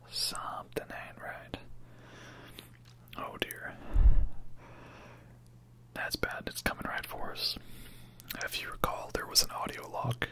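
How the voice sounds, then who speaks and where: A person whispering breathy, casual, rambling commentary over a video game in an ASMR style. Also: bright, forceful tone.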